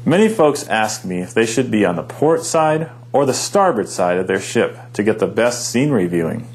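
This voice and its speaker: A man's voice: lowish voice, expressive, normal speed, faint music behind. A man working as a park ranger, giving visitors upbeat scripted advice in a guide's delivery. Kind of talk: scripted informational narration.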